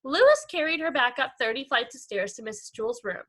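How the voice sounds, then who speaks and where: Bright, high-pitched woman reading to children. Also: brisk. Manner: expressive, addressing young pupils. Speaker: elementary school teacher. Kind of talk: animated children's read-aloud.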